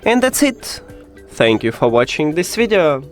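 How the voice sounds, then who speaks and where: A man's voice: on the high side, very animated, measured pace, soft background music. A man hosting a step-by-step tech tutorial in an instructional way, with slightly non-native phrasing.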